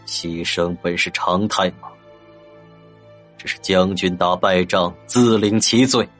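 Grave, composed fantasy sect leader played by a man, low voice, measured, audiobook drama with music. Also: a bit bright. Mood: grave resolve. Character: composed, resolute male leader of cultivators.

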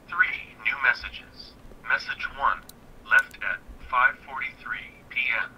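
Impersonal answering machine voice in a game, very sharp, flat automated announcing, faint noise. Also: male voice, medium pitch, slow, poor audio. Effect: answering machine playback.

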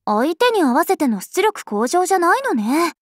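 A woman playing a bright, playful young vampire in a video game, talking in a casual, confident, bubbly way. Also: extremely high pitch, sing-song, normal speed, no room sound, clean studio sound. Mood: cheerful, eager. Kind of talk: scripted mobile game character voice lines.

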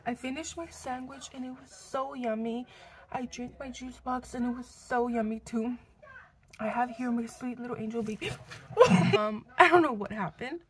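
A sick young woman in her twenties, hoarse and congested, chatting casually to a close camera at home, cursing and coughing now and then. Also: a little high, a little hiss or hum. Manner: chatty, swearing, coughs between lines. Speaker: vlogger. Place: living room at home. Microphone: camera close to face.

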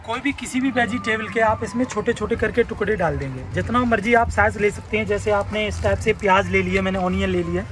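A man's voice: high voice, fast talker, hardly any pauses. A young man giving a rehearsed sales demonstration at a market stall, listing uses in repetitive patter. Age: young adult. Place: open-sided tented fair stall outdoors. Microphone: handheld camera a step or two away. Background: music.

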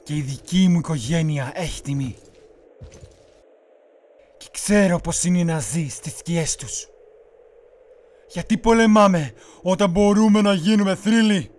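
A man playing a commanding, menacing Mongol warlord in a measured, persuasive way, in an amateur game dub. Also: high-pitched, a little mellow, close to an everyday voice.

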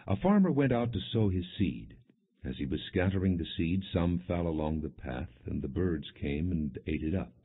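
Deep-voiced man reading scripture. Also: slightly rough. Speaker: audiobook narrator. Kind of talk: scripted narration, non-dramatized scripture reading. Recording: dull, muffled low-fidelity audio.

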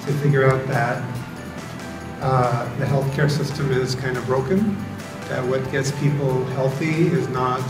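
A man's voice: medium pitch, a little slow, far from the mic, music in the background. Middle-aged man, easygoing speaker, recorded from the back of an echoey conference room. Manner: relaxed storytelling with fillers. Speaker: founder speaking to students. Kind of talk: motivational talk to an audience. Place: large hotel conference room.

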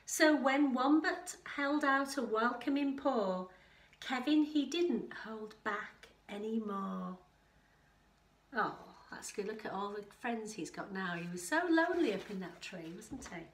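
A woman's voice: a little high, lively intonation, unhurried. A middle-aged woman reading a picture book aloud to young children, with rhythmic delivery and chatty asides. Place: living room at home. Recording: roomy, echoing home living room.

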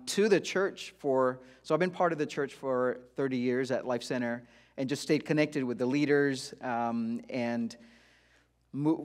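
A middle-aged man from India who grew up in Dubai, giving an informal sermon as a guest preacher in a conversational, halting way. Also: mid-range voice. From India-born, grew up in Dubai. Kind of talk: informal sermon, personal testimony. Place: church auditorium stage, before a congregation.

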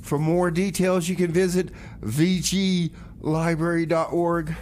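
A man's voice: a little high, little pitch movement, measured pace, a little mellow, a little hiss or hum. A man hosting a community radio music show, talking casually like a DJ with wry, offhand asides. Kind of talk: casual radio DJ talk, announcing songs and local events.

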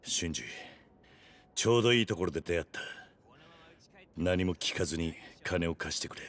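A tough male yakuza with a lowish voice. He talks in rough, blunt orders, hot-tempered yet honest, like the hero of a crime game. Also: normal speed, fairly bright tone. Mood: urgent. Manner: blunt, rough, commanding street speech. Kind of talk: video game cutscene acting.

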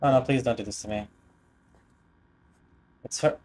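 A man's voice: mid-range voice, fairly even intonation, moderate pace, a little mellow. A young man giving casual, off-the-cuff reaction commentary from a small room indoors. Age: young adult. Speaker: reaction video host.

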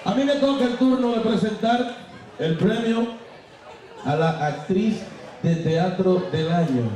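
Hombre bromista con acento dominicano. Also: male voice, high-pitched, a little slow, dark tone. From Dominican Republic. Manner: joking, playful, teasing the crowd. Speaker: award presenter. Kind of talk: comic stage presentation. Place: large banquet hall, on stage. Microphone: handheld microphone. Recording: echoey hall, from far off.

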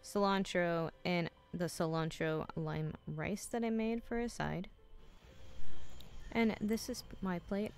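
Lowish-voiced woman in her 30s walking thru a recipe, chatty, soft music behind. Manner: chatty, instructive, says "you're gonna wanna" a lot. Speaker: vlogger. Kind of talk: casual recipe walkthrough.

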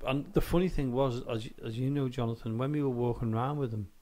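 A man's voice: mid-range voice, quick pace, warm tone. A man co-hosting a paranormal radio show, rambling with many restarts while getting over a chest infection. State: recovering from chest infection, coughing. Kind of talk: casual studio chat. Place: radio studio.

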